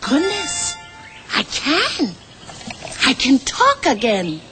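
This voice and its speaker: An actress voicing a bossy, loud-voiced cartoon queen, talking and singing in a grand, theatrical way. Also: sits high, wildly swooping pitch, very slow, over loud music, heavily acted. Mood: delighted. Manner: grand, theatrical, sings showily. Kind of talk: cartoon voice acting with song.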